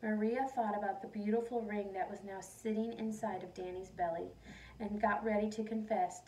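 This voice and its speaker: A woman reading a storybook aloud to children in an expressive, animated way. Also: mid-range voice, normal speed, a little hiss or hum. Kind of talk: expressive storybook read-aloud with questions to listeners. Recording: roomy echo, distant mic.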